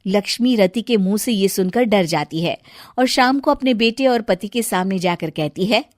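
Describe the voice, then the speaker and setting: A woman narrating a scripted story in an expressive storyteller's manner. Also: medium pitch, normal speed, no room sound, studio quality.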